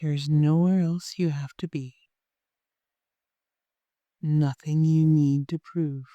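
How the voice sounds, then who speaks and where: A meditation guide of unclear sex, speaking gently and softly in an unhurried way, with long pauses, leading a guided meditation. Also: medium pitch, steady tone, dry recording, lo-fi sound.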